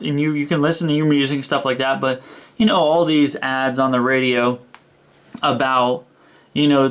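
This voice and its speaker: A man's voice: mid-range voice, fairly bright tone. A young man giving an informal, enthusiastic hands-on product review, repeating words for emphasis as he goes. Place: indoors, small room.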